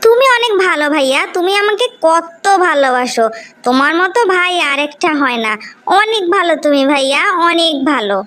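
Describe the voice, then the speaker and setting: A woman with a Bangladeshi accent voicing a sweet-talking, flattering young sister in a cartoon story, effusive and coaxing. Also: high-pitched, moderate pace. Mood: gushing, flattering. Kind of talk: animated moral story dialogue.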